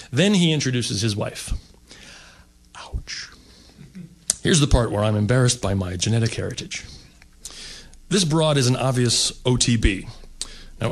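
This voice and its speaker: Middle-aged man from the US, seated stand-up storyteller; wry, rambling delivery. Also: medium pitch. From United States. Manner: wry, rambling with fillers, mock-snobbish asides. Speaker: stand-up comedian. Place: seated at a table, indoor stage.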